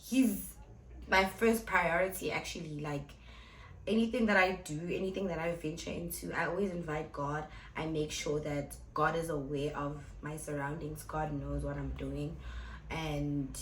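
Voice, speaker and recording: A young woman in her twenties talks straight to her viewers in a chatty, blunt, playful way. Her voice sits on the lower side, and the room around her rings with echo. A faint hum of background noise runs underneath. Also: fairly bright tone. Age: 20s. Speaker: vlogger and club host. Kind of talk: casual Q&A vlog to camera.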